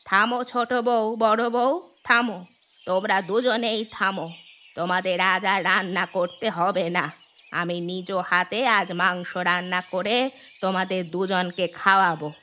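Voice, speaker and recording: A woman's voice: medium pitch, flat delivery, normal speed, pushed and bright, close to an everyday voice. A woman playing an elderly village mother-in-law in a cartoon story, plain-spoken, folksy and instructive, troubled but shrewd. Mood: firm, instructive. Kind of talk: scripted cartoon story acting.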